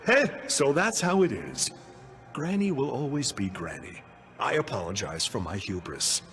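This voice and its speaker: A man's voice: mid-range voice, big pitch swings, normal speed, a bit bright. An actor playing a sly, poetic young male villain in a video game, speaking in a grandiose, mock-courteous way. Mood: wry, wistful. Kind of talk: scripted video game dialogue. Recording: game music behind. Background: game music.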